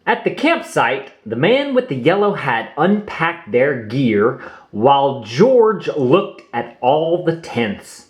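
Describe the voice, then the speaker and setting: A man's voice: fairly high pitch, very animated, slow pace. A man narrating a children's picture book, reading aloud expressively with theatrical emphasis for young listeners.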